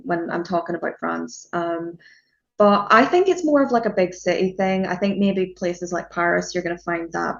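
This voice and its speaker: Woman, young, Northern Irish accent, chatty with fillers, fairly low voice, slightly muffled recording. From Northern Ireland. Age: young adult. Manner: chatty, with many fillers and restarts. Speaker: podcast guest, student and music journalist. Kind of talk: casual podcast chat.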